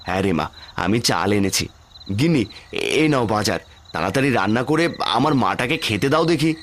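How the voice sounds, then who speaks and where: A man's voice: medium pitch, expressive, a little fast, a little hiss or hum, close to an everyday voice. A man playing a poor village street vendor and father in a cartoon, with a pleading, plaintive manner. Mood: earnest.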